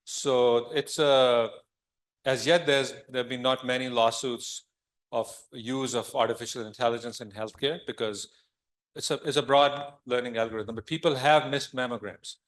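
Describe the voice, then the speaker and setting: A man's voice: medium pitch, steady tone, normal speed. Male conference speaker in Q&A; reflective, self-correcting. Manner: thoughtful, with repeated restarts. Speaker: conference speaker answering questions. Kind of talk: Q&A discussion.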